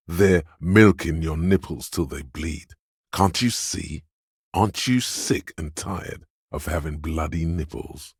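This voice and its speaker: A very deep-voiced, suave hustler goads the listener like a smug voice inside the head. He is theatrical and slow, savoring each phrase in a husky tone, in a dry, close video game recording. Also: no room sound, full character voice. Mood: indignant.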